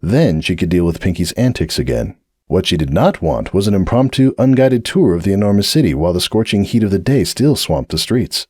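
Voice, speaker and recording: A man narrating a dramatic audiobook reading of a fanfiction story in a measured, expressive way. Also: deep voice, no room sound, studio quality.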